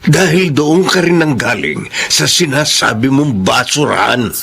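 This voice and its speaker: An actor playing a remorseful middle-aged Filipino father in a radio drama, earnest and measured as he pleads. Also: mid-range voice, animated, creaky, a bit bright. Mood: firm, reproving. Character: remorseful older father confessing an old affair to his daughter.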